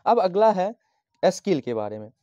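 A man from Bihar teaching a lesson, explaining slowly and patiently and repeating each phrase as he dictates sample lines. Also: high-pitched, big pitch swings, mellow, dry recording. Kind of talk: handwritten English lesson explained in Hindi. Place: at a desk, writing on a notebook page. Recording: dull, lo-fi dry sound.

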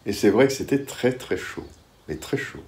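A man in his sixties recalling his years as a war cameraman in a casual, lively storytelling way, talking at home in his living room. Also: fairly low pitch, heavy background noise. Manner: lively storytelling, quick bursts. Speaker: former war cameraman recounting. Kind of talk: casual spoken recollection.

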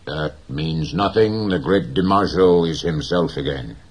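A man voicing a poor but proud, gentle old fisherman who speaks plainly and slowly. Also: rumbling low. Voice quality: slow, plain, dignified speech.

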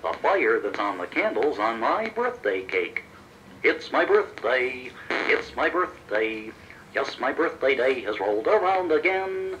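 A man voicing a silly, childlike, eager sidekick creature in a children's audio story, exclaiming and easily excited. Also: mid-range voice, unhurried, slight background noise, poor audio. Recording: promotional children's cassette tape.

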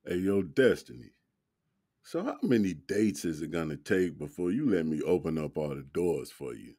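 Actor as big, smooth-talking young American man on a date, dark husky tone, attentive, audio drama. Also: sits low, very animated, normal speed, faint music behind, full character voice. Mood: smooth, teasing.

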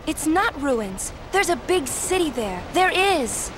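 An actress playing a gentle, earnest, hopeful young woman in a video game, sincere and polite with halting phrasing. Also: sits high, very animated, noisy background, heavily acted. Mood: earnest, insistent. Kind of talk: video game cutscene acting. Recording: music behind the voice.

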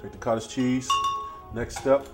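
A man's voice: mid-range voice, measured pace, over music. A middle-aged man hosting a casual cooking demonstration in a home kitchen, chatting easily while he works. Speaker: cooking show host.

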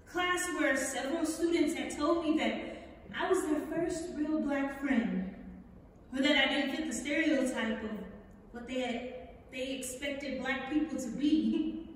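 Young female speaker, very high voice, earnest emphatic speech, heard distantly across a reverberant theatre. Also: a little slow, a little hiss or hum. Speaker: stage reader. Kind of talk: impassioned speech read from a lectern. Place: large theatre stage, indoors. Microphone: far camera in the audience. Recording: very echoey theatre hall from far off.

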